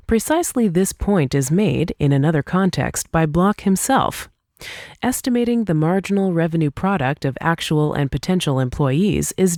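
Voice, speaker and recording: A woman reads a scripted essay as an audiobook narrator, in a measured, clearly articulated way. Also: sits low, darkish tone, dry recording, clean studio sound. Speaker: audiobook reader.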